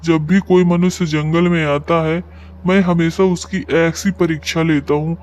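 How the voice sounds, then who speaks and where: Solemn sadhu played by a man, fairly high clean voice, measured fatherly delivery, cartoon moral tale. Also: little pitch movement, a little mellow, clean tone, close to an everyday voice. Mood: solemn, approving. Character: solemn wandering holy man testing travellers. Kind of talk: cartoon moral story voice acting.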